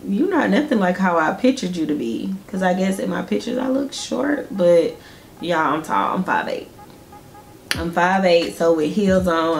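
A woman's voice: mid-range voice, vocal fry, a little hiss or hum. A young woman from Georgia with a Southern accent, chatting casually with viewers while doing her makeup at home. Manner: chatty, laughs often, addresses viewers directly. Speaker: beauty vlogger. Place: small indoor room at home.

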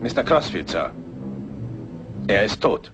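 An actor playing a surly, brusque stable groom with a rough manner in a German radio crime drama. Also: male voice, lowish voice, very animated, takes it slowly, music in the background. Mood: subdued. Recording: loud stable noise, horses.

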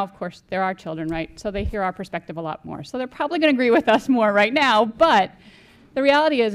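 Measured middle-aged woman on a panel. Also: mid-range voice. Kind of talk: earnest panel discussion. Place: council chamber with small audience. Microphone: gooseneck desk microphone.

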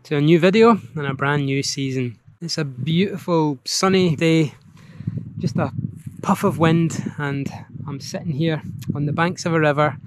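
A Scottish man in his thirties chatting casually to camera as a fishing vlogger, in a relaxed, thoughtful way with some hesitation. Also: on the high side, background noise. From Scotland. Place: outdoors on a grassy riverbank.

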